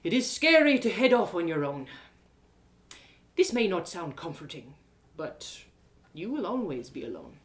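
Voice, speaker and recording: A woman voicing a gentle, wise male mentor in a fantasy story, measured and reassuring. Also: lowish voice, big pitch swings.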